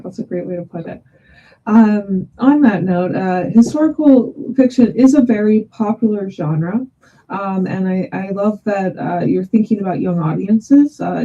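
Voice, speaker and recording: A woman hosting a webinar, putting questions to a guest with hesitant, halting phrasing over a dull video call microphone. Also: medium pitch, dark tone. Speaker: host, English teacher. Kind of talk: webinar interviewing, reading out audience questions.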